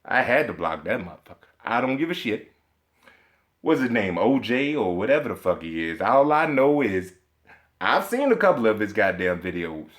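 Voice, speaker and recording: A man in full rant mode, swearing constantly and hammering his points with indignant emphasis. His pitch swings widely as he gets worked up. He keeps tripping over strings of "uh"s while he searches for a word. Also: big pitch swings, moderate pace. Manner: rambling with stacked filler hesitations. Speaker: video game commentator. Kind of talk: profane ranting vlog commentary.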